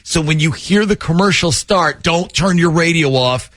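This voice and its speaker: A man's voice: fairly high pitch, moderate pace, fairly bright tone, no room sound. A man hosting a morning radio show, chatty and jokey as he banters and sets up prank calls.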